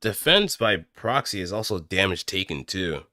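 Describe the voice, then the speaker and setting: A man streaming casually, thinking aloud in a halting, musing way as he works. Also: low-pitched, very animated, bright, forceful tone, dry recording, studio quality.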